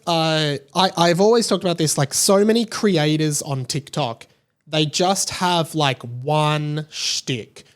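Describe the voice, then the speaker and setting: Guy with a kinda high voice doing a chatty solo podcast, rambles along, says right? a lot. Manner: rambling, conversational, often tags points with "right?". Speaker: podcast host. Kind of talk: casual solo podcast monologue.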